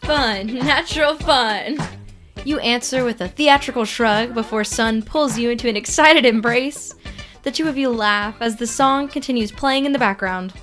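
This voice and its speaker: Woman reading fan fiction out loud, high voice, expressive storytelling. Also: moderate pace, faint music behind. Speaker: podfic reader. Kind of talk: expressive scripted story narration in second person.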